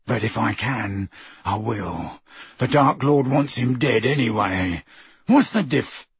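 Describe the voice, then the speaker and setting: A man voicing Crabbe, a huge, thuggish teenage boy wizard who is slow and soft-spoken but quick to turn menacing, in an audiobook reading. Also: mid-range voice, very animated, breathy edge, dry recording, exaggerated voice. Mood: furious.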